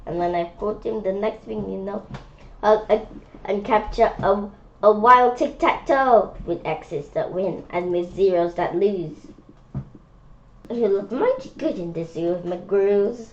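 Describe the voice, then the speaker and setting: A woman's voice: medium pitch, unhurried, muffled recording, slight background noise. A woman reading a rhyming picture book aloud informally, often stumbling over words and repeating phrases. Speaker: children's book read-aloud reader.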